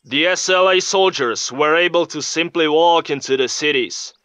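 Man acting grave army captain in a game news interview, bright forceful voice, deliberate, dry sound. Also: on the high side, dry recording, close to an everyday voice. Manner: solemn, deliberate. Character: grave, indignant male army captain of a fictional kingdom.

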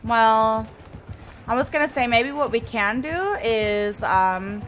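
Bright, chatty woman streamer. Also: fairly high pitch, animated, takes it slowly, a little hiss or hum. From Mexico. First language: Spanish. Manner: chatty, reads and answers chat while playing. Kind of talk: live gameplay commentary.

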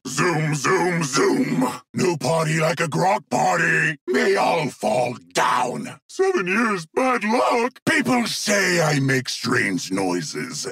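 Manic gravelly high-pitched orc. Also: male voice, very animated, drawn-out words, a bit bright, heavily acted. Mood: gleeful, unhinged. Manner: cackling, erratic, third-person broken speech. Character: manic, unhinged, childlike male orc shaman warrior. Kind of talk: video game character barks.

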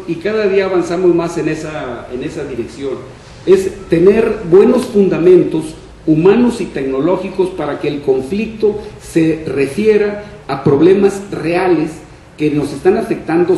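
A man's voice: on the high side, unhurried, mellow. Older man in an echoey room. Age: older, 60s or 70s. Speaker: panel speaker on science fiction. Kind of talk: public talk at a discussion panel. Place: indoor room at a panel table. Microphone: shared table microphone on stand. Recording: echoey room, distant mic, faint noise.

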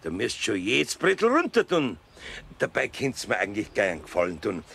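An older Bavarian craftsman, teases slyly in a children's radio play. His voice creaks and swings widely in pitch, good-natured throughout. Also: huge pitch swings, fairly quick, fairly bright tone, a little hiss or hum, exaggerated voice. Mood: slyly teasing. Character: older master carpenter, good-natured, sly and teasing.

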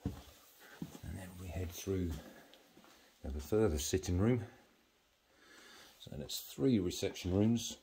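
A man giving a matter-of-fact, halting walk-through commentary as an estate agent, listing features as he moves through a house. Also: deep voice, lively intonation, dark tone, a little hiss or hum. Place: walking through rooms of a house.

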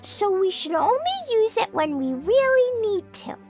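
Little girl, very high, slow-paced, childlike, innocent and eager, fantasy game character. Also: big pitch swings, a little mellow, faint music behind, exaggerated voice. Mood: earnest, explaining. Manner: childlike, refers to herself by name. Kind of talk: anime-style game voice acting.

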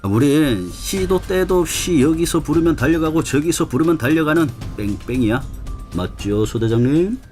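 A man playing a loud, pushy young plainclothes riot cop who talks nonstop, in a radio drama. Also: on the high side, lively intonation, moderate pace, lightly acted. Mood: boisterous. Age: young adult. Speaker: plainclothes police. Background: background music.

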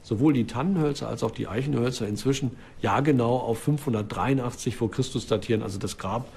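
A man speaking as an archaeologist in an interview, explaining off the cuff with halting, filled pauses. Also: medium pitch, gravelly, darkish tone, slightly rough.

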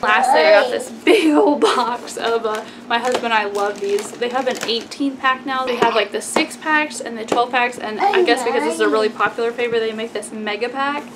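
A woman's voice: fairly high pitch, brisk, few pauses. A woman in her twenties from Texas with an American accent, chatting casually and informally to camera as a vlogger, with frequent "like" and "y'all". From Texas, United States. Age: 20s. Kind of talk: casual grocery haul chat to camera. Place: small plain indoor room. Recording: clearly noisy room background.

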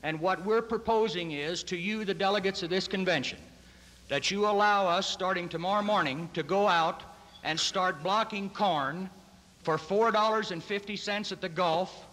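A man, a grain department director, giving a brisk, detailed proposal in a convention address. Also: high voice, bright, forceful tone. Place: large convention hall. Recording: reverberant convention hall.

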